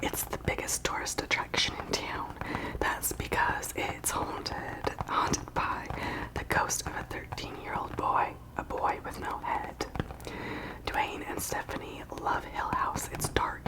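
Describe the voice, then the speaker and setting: A woman whispering softly in an ASMR style, breathy and unvoiced, with gentle, deliberate delivery and long pauses. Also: poor audio. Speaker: ASMR channel host. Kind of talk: soft whispered ASMR, chatting and reading aloud.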